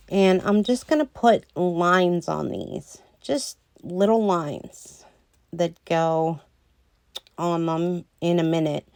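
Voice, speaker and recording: Woman doing a nail tutorial voiceover, kinda hoarse and gravelly, slow and rambly, dry sound. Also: lowish voice, a little mellow, dry recording. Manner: slow, rambling, many ums. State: losing her voice a little. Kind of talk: casual voice-over tutorial.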